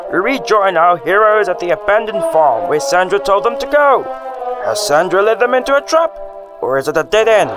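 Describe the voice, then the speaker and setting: An actor playing an excitable 1950s radio serial announcer with a showy, dramatic delivery. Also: male voice, high-pitched.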